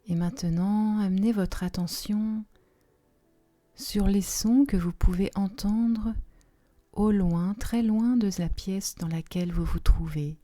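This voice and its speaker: Very dark, slow-voiced woman guiding relaxation. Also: mid-range voice. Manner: soft, soothing, unhurried with long pauses, sometimes breathy. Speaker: yoga nidra relaxation guide. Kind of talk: guided relaxation meditation. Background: soft music.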